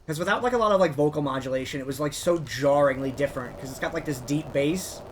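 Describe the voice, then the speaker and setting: Chatty man rambling with a high voice. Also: fast talker, slight background noise. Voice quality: describes his own voice as higher than a deep bass beat. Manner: rambling, heavy use of "like" as filler. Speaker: gamer narrating his own playthrough. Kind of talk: casual storytelling commentary while playing.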